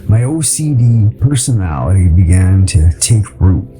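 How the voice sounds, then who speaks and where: Male audiobook reader for memoir and verse. Slow, measured delivery, lowish pitch, reflective pauses. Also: very animated, dark tone, soft background music. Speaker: audiobook narrator. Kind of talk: scripted audiobook narration of memoir and poetry.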